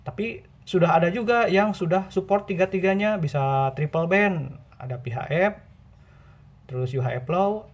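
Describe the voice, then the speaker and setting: Higher-pitched man explaining informally. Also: normal speed. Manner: explanatory, repeats and rephrases points. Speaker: presenter giving buying tips.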